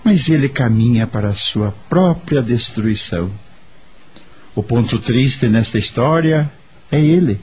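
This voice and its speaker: An actor with a Brazilian accent playing a kindly, wise doctor in a radio soap opera, speaking in a measured, earnest, theatrical way. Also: male voice, mid-range voice, expressive, darkish tone, husky. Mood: solemn, earnest. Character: kindly, wise adult male doctor, solemn and reflective. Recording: background music under the voice.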